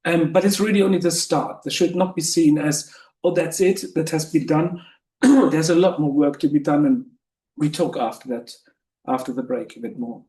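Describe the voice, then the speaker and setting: A man hosting a webinar, presenting with many pauses and restarts, his voice going hoarse. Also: fairly high pitch, mellow, reverberant, poor audio. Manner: halting, many fillers and restarts. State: losing his voice. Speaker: webinar host.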